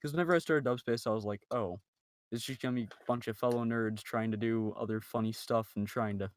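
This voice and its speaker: A man's voice: medium pitch, steady tone, fairly quick. A man talking casually on a group podcast in an informal, chatty, emphatic way. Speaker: podcast member, amateur voice actor.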